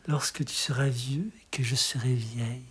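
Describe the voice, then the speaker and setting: A hushed, breathy voice giving an expressive, tender recitation of poetry in a measured way. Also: mid-range voice. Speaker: poetry reader.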